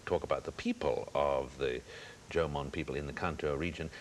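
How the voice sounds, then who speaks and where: A man's voice: rumbling low, brisk. A man from the UK co-hosting a radio interview, jovial and self-deprecating. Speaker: co-host. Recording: faint hiss.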